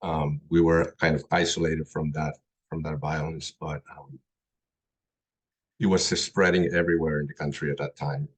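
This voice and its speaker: A middle-aged man who grew up in Colombia, giving a personal talk as a guest speaker, halting with many pauses and restarts. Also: extremely low pitch, flat delivery, breathy edge.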